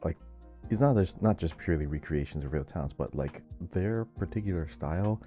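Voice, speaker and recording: Man, very low voice, podcast co-host, conversational game talk, soft music behind. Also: lively intonation, normal speed. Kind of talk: casual gaming podcast chat.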